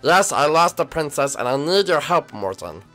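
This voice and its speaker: A man putting on a bratty young turtle prince whose voice swings up and down as he whines. He stammers and hesitates, and his tone stays bright, with faint music behind. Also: fairly high pitch, sing-song, moderate pace. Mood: whiny.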